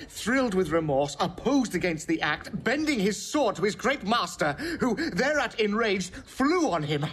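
An actor playing an urgent, deferential messenger bearing grim news in a classical audio drama. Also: male voice, sits high, very animated, moderate pace, fairly bright tone, slightly rough, heavily acted. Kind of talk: classical stage-style audio drama acting.

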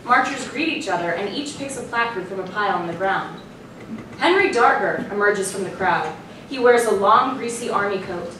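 A woman's voice: mid-range voice, expressive, normal speed. A woman narrator reading scripted stage directions to a room from a stand. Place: small performance room with audience, far camera. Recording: very echoey, distant, clearly noisy room.

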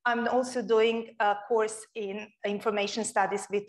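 A young woman from Croatia giving an earnest, expressive public lecture as a guest speaker in a lecture room. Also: mid-range voice, normal speed.

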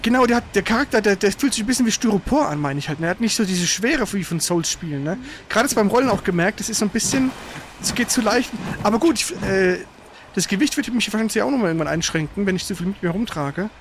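A man with a high-pitched voice gives casual commentary while playing a video game. He speaks colloquially and throws in exclamations at the game. There is some faint noise behind him. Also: lively intonation, fast talker. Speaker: gamer, let's play host.

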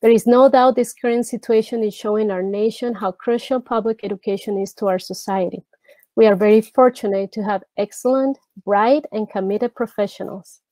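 A middle-aged woman chairing a school board meeting from home over a video call, speaking earnestly with halting restarts. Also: mid-range voice, fairly even intonation, mellow, dry recording. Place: home room with brick wall. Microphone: earbud headset on video call.

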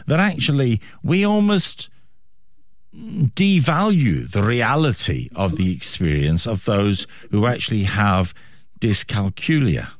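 A man's voice: medium pitch, no echo, studio quality. A man with a British accent hosting a radio interview, phrasing his questions with emphasis.

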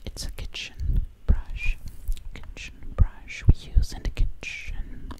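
Woman in a slow breathy whisper. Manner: slow, soothing, hypnotic repetition of words. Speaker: ASMR video creator. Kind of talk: ASMR. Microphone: very close ear-to-ear microphone.

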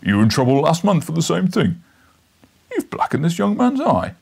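A man voicing a stern, scolding policeman with brisk authority in an audiobook. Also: a little high, huge pitch swings, creaky, a little mellow, husky, voice pushed up, heavily acted.

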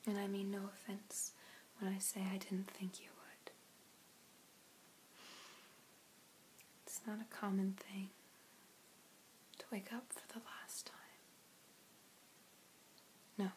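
A young woman playing a gentle, apologetic vampire in an ASMR roleplay, whispering slowly and deliberately with pauses. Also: mid-range voice, close to an everyday voice, rough recording. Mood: calm, reassuring. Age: young adult. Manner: slow, hushed, deliberate, with pauses. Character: gentle, apologetic female vampire rescuer, ancient but youthful. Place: small room indoors. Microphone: phone or webcam at arm's length.

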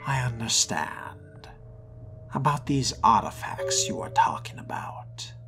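A man role-plays a dry-witted young vampire in a video game. He murmurs politely and slowly, with expressive swings in pitch. Clear music runs behind his voice. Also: full character voice. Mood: dry, wry. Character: courteous, dry-witted male fledgling vampire.

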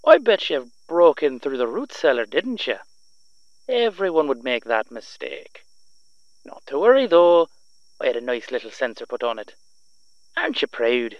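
An actor playing a smug, vengeful young half-vampire son, taunting theatrically in an audio roleplay. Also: male voice, a little high, very animated, unhurried, long pauses, dry recording, highs cut off. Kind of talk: audio roleplay villain monologue.

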